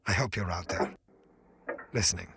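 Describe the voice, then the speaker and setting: An actor playing an earnest, obsessed scientist in an audio drama, speaking with intense, deliberate formality. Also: male voice, low-pitched, gravelly, fairly bright tone, slight background noise. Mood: weary, bleak. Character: earnest, obsessed male scientist stranded in another dimension.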